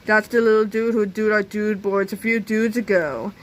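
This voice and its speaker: A woman's voice: mid-range voice, fairly even intonation, moderate pace, lightly acted. A woman voicing a slangy young male skateboarder in a storybook reading, talking in dude slang. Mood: indignant. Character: laid-back teenage male skateboarder, slangy.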